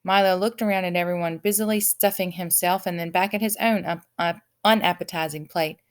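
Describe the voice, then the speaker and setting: Woman, slightly low pitch, storybook narrator, expressive read-aloud. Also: a little fast. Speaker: audiobook reader.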